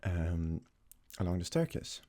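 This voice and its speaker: A young man from Sweden, a native Swedish speaker, talks casually in a soft-spoken, hesitant ASMR style as he answers questions. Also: low-pitched, big pitch swings, unhurried. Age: young adult. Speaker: ASMR creator.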